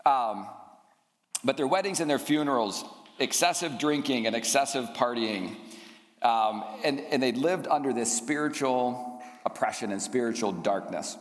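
A man's voice: mid-range voice, normal speed. A man in his fifties, a teacher raised in Indonesia, giving an earnest, reflective talk to a school community with frequent ums. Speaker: math teacher. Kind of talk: chapel talk to students. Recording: long hall reverb.

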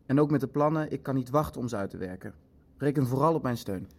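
A man's voice: medium pitch, lively intonation, fast talker, darkish tone. A man playing an eager, businesslike Nazi official in a Dutch radio play, matter-of-fact as he calculates. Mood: eager, satisfied.

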